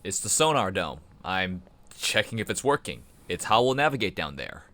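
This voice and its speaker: A man's voice: low voice, sing-song, normal speed, pushed and bright, slight background noise, heavily acted. A man playing an irritable, dismissive submarine engineer in an audio drama. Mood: curt. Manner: dismissive, sarcastic. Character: blunt, irritable, money-minded male submarine engineer.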